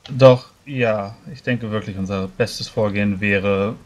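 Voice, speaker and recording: A man playing a serious, polite healer who speaks in a measured, hesitant way during a tabletop roleplay. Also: lowish voice, steady tone, lightly acted. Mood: grave, explaining. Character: careful, formal male healer, soberly serious.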